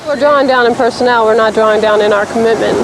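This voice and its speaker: Female police interviewee, higher register, unscripted, heavy ambient noise. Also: fairly even intonation, fast. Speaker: military police bike patrol officer being interviewed. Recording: loud ambient and vehicle noise.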